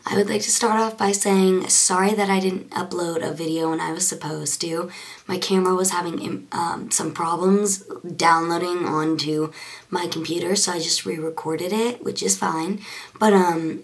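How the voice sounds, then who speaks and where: A young woman with a hoarse, raspy, congested voice, chatting casually through a makeup tutorial with plenty of fillers. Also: fairly low pitch, steady tone, moderate pace. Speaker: beauty vlogger. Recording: clear background music.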